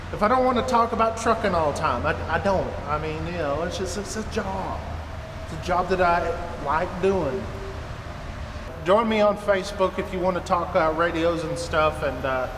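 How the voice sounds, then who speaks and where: An American man in his thirties, a trucker talking casually to camera in a rambling, folksy way. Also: fairly high pitch. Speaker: trucker and video blogger. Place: inside an empty truck trailer, door open. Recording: echoey metal trailer, clear background noise.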